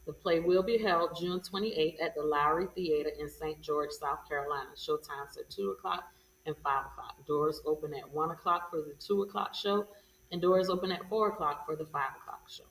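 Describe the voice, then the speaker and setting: A woman's voice: sits low, normal speed, a bit bright. A woman announcing event details as a guest on a show, in an orderly informational way. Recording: echoey distant mic, faint background noise.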